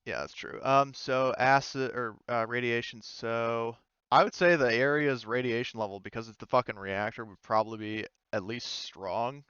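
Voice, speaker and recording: A man with a clear, mid-pitched voice runs a tabletop roleplaying game. He is casual and halting, reasoning through rules as he goes. The sound is very dry and a little lo-fi. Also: a bit bright, dry recording. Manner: halting, thinking aloud while working out rules. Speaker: game master.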